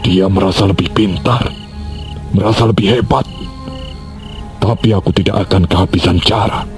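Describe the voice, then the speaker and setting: A low, gruff father plots in a bitter grumble. The radio play has music playing underneath. His lines come slow and resentful. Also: fairly even intonation. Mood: brooding. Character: brooding scheming father, a weaponsmith. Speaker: actor in a radio drama. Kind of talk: radio drama acting.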